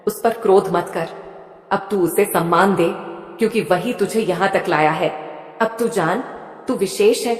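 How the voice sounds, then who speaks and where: A tender mother goddess, voiced by a woman, speaks in a calm, soothing tone, addressing her devotee directly and intimately. Her voice sits at a middle pitch. It rings out with a long, roomy hall reverb. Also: natural voice. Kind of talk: devotional monologue.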